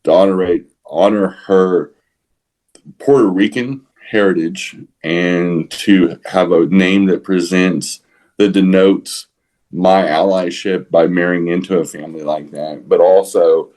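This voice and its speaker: A man with a Texas accent talking casually as a podcast co-host, halting often to repeat and rephrase his words. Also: sits low, fairly even intonation, darkish tone, rough recording. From San Antonio, Texas. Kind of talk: casual opinionated podcast talk.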